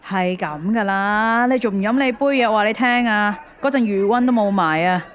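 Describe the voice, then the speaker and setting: A woman's voice: mid-range voice, normal speed, close to an everyday voice. A woman with a Hong Kong accent playing an impatient, nagging wife in an audio drama, with a brusque way of talking.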